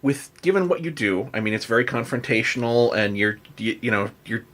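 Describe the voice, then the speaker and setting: A man hosting a casual chat, working his way through questions in a halting, rambling way with repeated words. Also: mid-range voice, lively intonation, fairly bright tone. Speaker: podcast host, interviewer.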